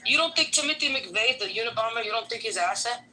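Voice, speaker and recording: New york woman ranting on a voice chat, bright higher voice, lots of swearing and slang, faint hiss behind. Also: lively intonation, quick pace. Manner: blunt, profane, rhetorical questions, slangy. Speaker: Clubhouse room participant, immigration law clerk. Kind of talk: heated casual chat on a voice-chat app. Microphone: phone mic on a voice-chat app.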